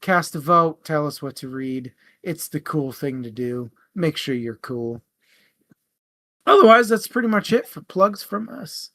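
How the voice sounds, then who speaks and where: Male book show co-host, crisp bright tone, slightly high pitch, casual, informal, joking, unfiltered. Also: animated, a little slow. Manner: informal, jokey, profane. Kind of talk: casual book chat with plugs.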